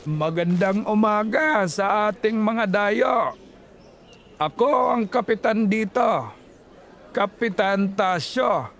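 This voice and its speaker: A man voicing a sinister old village captain in a horror audio story, speaking slowly and formally. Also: high-pitched, expressive, a bit bright, heavily acted. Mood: ominous welcome.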